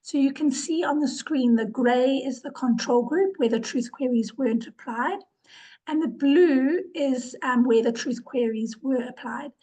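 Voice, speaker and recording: Hoarse, high-voiced woman presenting. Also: unhurried, mellow, poor audio. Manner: earnest, repeats words for emphasis, with fillers. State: ill, losing her voice. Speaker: webinar presenter, organization director. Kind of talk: presentation talk.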